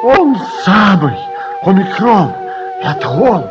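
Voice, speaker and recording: An actor playing an awestruck, fussy older manservant in a radio drama, halting and repetitive. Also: male voice, high-pitched, huge pitch swings, heavily acted. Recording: dull radio recording with music.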